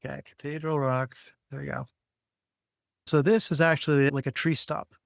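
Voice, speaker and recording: A man's voice: a little high, fairly even intonation, creaky, dry recording, clean studio sound. Man casually explaining, thinking aloud. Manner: informal, halting, thinking aloud while explaining. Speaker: podcast co-host.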